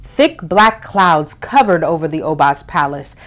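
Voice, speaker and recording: A woman with a very lively, swooping pitch hosts storytime for young children. She explains and reads aloud expressively, leaning on key words and drawing them out. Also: unhurried. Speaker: host of a children's storytime show.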